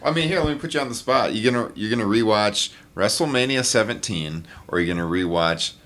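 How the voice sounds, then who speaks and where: A man hosting a podcast from a hotel room, hoarse, upbeat and quick to laugh as he runs the show. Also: fairly low pitch, fairly bright tone. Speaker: podcast host. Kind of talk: casual podcast chat.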